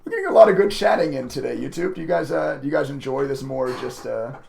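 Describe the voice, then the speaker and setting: A man with a raspy voice chatting casually to viewers as a livestreaming day trader, emotive and talkative. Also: mid-range voice, lively intonation, fast talker, darkish tone. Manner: chatty, emotive, laughs often. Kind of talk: casual live stream commentary to viewers.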